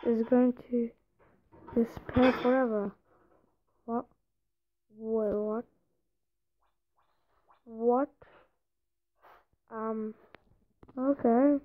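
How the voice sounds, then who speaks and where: Distracted young woman livestreaming, muttering slowly. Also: on the high side, steady tone. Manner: distracted, muttering to herself while reading chat. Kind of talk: casual live stream chat. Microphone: phone or device mic. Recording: dull lo-fi phone stream with faint noise.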